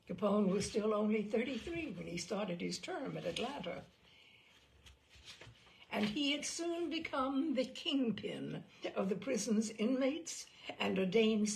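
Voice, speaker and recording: A woman reading a scripted history narration aloud as a storyteller, with measured, deliberate phrasing. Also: a little high, vocal fry, slight background noise, poor audio. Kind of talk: scripted narration of true crime history, with occasional asides.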